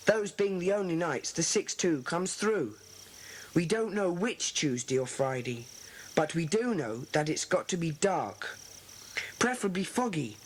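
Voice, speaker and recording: An earnest English schoolboy who leads his club in a children's audio drama. The voice is high, carefully enunciated and a little commanding. The old cassette recording carries faint noise. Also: rough recording.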